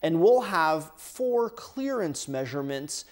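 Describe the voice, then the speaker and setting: Male workshop host with a slightly high voice, relaxed step-by-step teaching at the bench. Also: slow. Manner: casual, explanatory, talks while handling parts. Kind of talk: hands-on how-to explanation. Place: indoor workshop bench, overhead camera.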